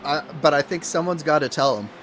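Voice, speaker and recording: A man's voice: a little high, lively intonation, brisk, background noise. A man co-commentating a sim race, explaining driving in a hesitant, thoughtful way. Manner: hesitant, thoughtful, many restarts. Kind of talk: live race commentary chat.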